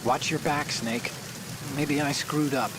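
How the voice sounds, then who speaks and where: A man's voice: a little high, fairly even intonation, takes it slowly. An actor playing an earnest, anxious male computer hacker in a video game, speaking hesitantly. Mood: uneasy, confiding. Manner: hesitant, stammering. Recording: rain noise behind.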